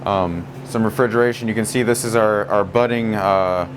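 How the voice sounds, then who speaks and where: A man's voice: lowish voice, moderate pace, background noise. A man showing visitors around his grocery store, talking casually with lots of fillers and restarts. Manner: relaxed, many fillers and restarts. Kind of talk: casual store tour talk.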